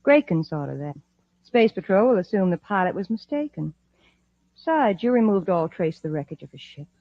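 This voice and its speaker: A woman's voice: medium pitch, very animated, fairly quick, dry recording. An actress playing a confident, commanding woman crime boss in a 1950s science fiction radio serial. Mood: smug, scheming. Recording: dull, dry old radio recording.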